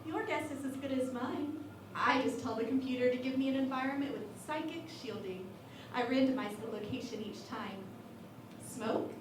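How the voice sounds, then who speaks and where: A woman playing an earnest, high-voiced starship officer. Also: normal speed, lightly acted. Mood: matter-of-fact. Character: earnest, wry female psychic starship officer. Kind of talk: parody sci-fi radio play acting. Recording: very echoey, far from mic, noisy.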